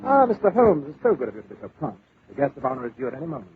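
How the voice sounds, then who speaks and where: Blustering British lord played by a male actor, animated and hesitant, old-time radio drama, tinny sound. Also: mid-range voice, very fast, background noise, lightly acted. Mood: flustered politeness. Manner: blustering, hesitant with ums and asides. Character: blustering English lord, indignant uncle guarding his fortune.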